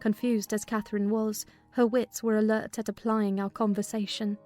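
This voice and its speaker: A woman narrator reading a classic novel as a dramatized audiobook, in an expressive literary manner. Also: medium pitch, normal speed. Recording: music behind the voice.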